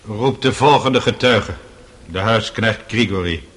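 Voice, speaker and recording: An actor playing a stern, fussy presiding judge in a radio courtroom drama, pompous and formal. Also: male voice, on the low side, unhurried, fairly bright tone.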